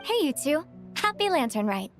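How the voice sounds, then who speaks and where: A fairly high young woman's voice, gentle and polite. She speaks courteously as a video game character, with music clearly playing behind her. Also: very animated, moderate pace. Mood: friendly.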